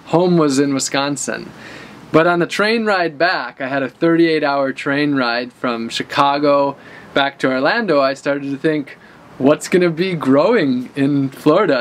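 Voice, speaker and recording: A man in his thirties giving an enthusiastic, casual walk-through of his garden in a vlog, talking as he moves and eats. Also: a little high. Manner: enthusiastic, gestures while talking and eating. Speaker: vlogger showing his garden. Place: outdoor urban garden, then indoors by a bookshelf. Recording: outdoor traffic noise in background.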